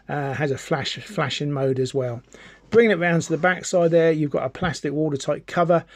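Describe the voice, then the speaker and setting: A man with a somewhat higher-pitched voice presents a casual hobby gear review. He explains things in a chatty way, often restating a point to make it clear. The sound is dry, with very little room echo. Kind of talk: casual product demonstration and explanation.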